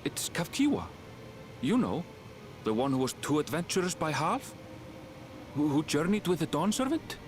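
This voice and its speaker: An earnest young man of a fantasy game. His voice is high and swings widely in pitch as he pleads. Soft music sits behind him. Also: sing-song, normal speed, long pauses. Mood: disbelieving.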